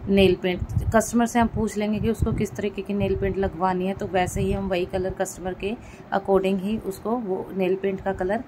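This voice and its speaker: A woman's voice: mid-range voice, flat delivery, normal speed, background noise. A woman giving a casual step-by-step vlog voice-over, explaining and rephrasing her points as she goes. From India. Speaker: vlogger.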